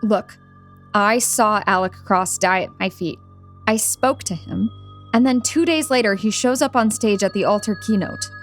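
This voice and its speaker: A woman's voice: medium pitch, normal speed, soft background music, natural voice. A woman playing a shaken young tech engineer in an audio drama, conversational, earnest and emphatic. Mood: wary, insistent. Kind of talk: audio drama table-read acting.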